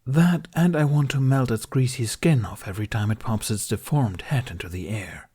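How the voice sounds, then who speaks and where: A man's voice: medium pitch, normal speed, darkish tone, husky, close to an everyday voice. A man voicing a proud, protective, dryly sarcastic dragon in an audiobook, speaking in blunt, formal grumbles. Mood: disgusted. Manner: grumbling, declarative, formal phrasing.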